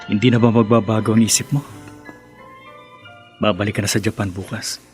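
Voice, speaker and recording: An actor with a Filipino accent playing a devoted, patient friend in love, earnest and hesitant, in radio drama style. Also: male voice, lowish voice, flat delivery, fairly quick, soft background music, lightly acted. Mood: wistful.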